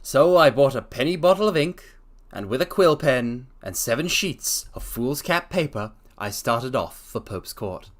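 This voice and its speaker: A man's voice: mid-range voice, expressive, measured pace, lightly acted. A man voicing an earnest middle-aged shopkeeper who tells his own story plainly.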